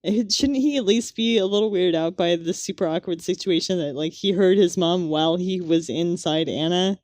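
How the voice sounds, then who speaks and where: A woman's voice: on the low side, moderate pace, darkish tone, no echo. A woman co-hosting a podcast in casual, conversational chat. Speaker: podcast co-host.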